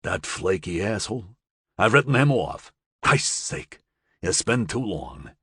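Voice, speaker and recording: Blustering, furious gangster boss. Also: male voice, low voice, very animated, measured pace, a bit bright, slightly rough, dry recording, studio quality, exaggerated voice. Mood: sullen, irritable. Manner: blustering, profane, menacing. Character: furious, foul-mouthed American male gangster boss, volatile and swaggering.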